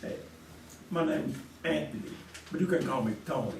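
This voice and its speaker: A man's voice: medium pitch, normal speed, creaky. A man chatting casually with the host as a quiz show contestant. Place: live stage before an audience. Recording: roomy, distant, faint noise.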